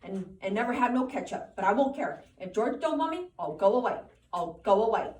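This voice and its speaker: Woman doing anxious simple big guy, loud rural drawl, roomy echo, distant mic. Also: mid-range voice, expressive, fairly quick, lightly acted. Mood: sad. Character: big simple-minded man, anxious and pleading.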